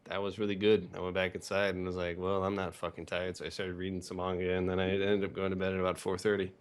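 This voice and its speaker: A man's voice: sits low, completely flat delivery, fast talker, clear, resonant tone. A young man telling stories casually as a podcast co-host, rambling and swearing crudely for comedy. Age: young adult.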